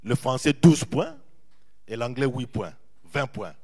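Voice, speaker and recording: Animated preaching man, lively pitch. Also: measured pace. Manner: animated preaching, repeats phrases, draws out key words. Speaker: pastor. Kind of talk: sermon.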